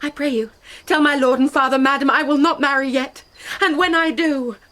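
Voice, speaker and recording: An actress playing a passionate, grief-stricken young noble bride in a classical Shakespearean radio drama, with earnest poetic delivery. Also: female voice, high-pitched, fairly even intonation, moderate pace, a bit bright. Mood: defiant.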